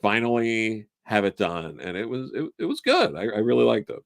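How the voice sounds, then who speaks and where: A man's voice: on the low side, sing-song, normal speed, gravelly, no echo, studio quality. A man appearing as a guest in a casual chat, talking in an enthusiastic, rambling way with casual swearing. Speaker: guest, comics writer.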